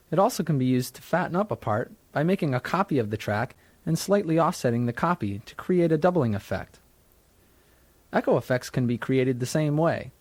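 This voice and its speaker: A man's voice: on the high side, darkish tone, dry recording. Dry-sounding man narrating a tutorial. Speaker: software tutorial instructor. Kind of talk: scripted instructional narration. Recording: dry studio narration from a 1990s tutorial cassette.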